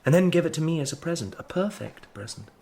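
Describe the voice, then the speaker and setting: An actor with an English accent playing an earnest, devoted young Victorian art teacher in an audio drama, speaking in literary phrases. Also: male voice, on the high side, animated, quick pace, vocal fry, warm tone, breathy edge, exaggerated voice. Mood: tender, coaxing. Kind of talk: audio drama narration and acting.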